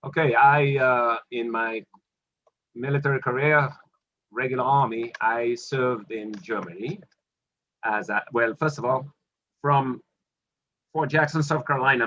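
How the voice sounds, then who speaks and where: A man's voice: medium pitch, highs cut off. An older man from Dominica with a Dominican accent, telling stories from his army career in an interview and acting out the dialogue. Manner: animated storyteller who acts out quoted exchanges. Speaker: interview guest, retired army officer. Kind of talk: storytelling interview.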